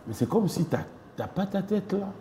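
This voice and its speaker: A middle-aged host from Congo interviews a guest on a TV studio set, pushing his questions again and again. His voice is mellow, with a faint hum in the room behind him. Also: sits high, big pitch swings, normal speed. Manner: probing, pressing questions. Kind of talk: studio interview mixing French and Lingala.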